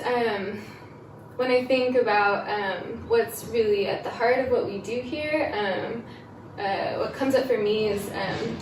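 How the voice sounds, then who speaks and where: Halting woman in a noisy, echoey room. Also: a little high, darkish tone. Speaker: archivist, interview guest. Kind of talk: casual explanatory interview talk. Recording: roomy, distant mic with clear noise.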